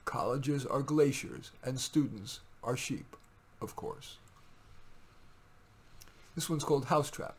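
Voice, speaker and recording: A man with a husky voice reading his own poems in a deliberate, wry way, with informal asides. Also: mid-range voice.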